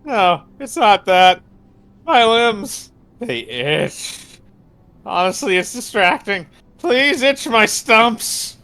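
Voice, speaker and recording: A woman voicing a frustrated, pleading man in a horror visual novel, with a melodramatic, halting delivery. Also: medium pitch, sing-song, fairly bright tone, exaggerated voice. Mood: uneasy, pleading.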